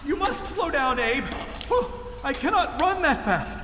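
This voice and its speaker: Fussy male sidekick: audio drama, timid, formal, very high animated voice, long reverb. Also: a little slow, a little hiss or hum, exaggerated voice. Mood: flustered. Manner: formal, fretful, old-fashioned wording. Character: fussy, prim, timid man struggling to keep up.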